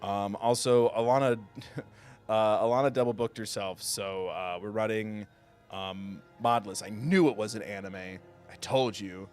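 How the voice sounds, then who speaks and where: A man hosting a video game livestream, chatting casually and haltingly with viewers in a self-deprecating, joking way. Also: fairly low pitch, fairly even intonation, darkish tone, clean tone. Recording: music behind the voice. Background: music.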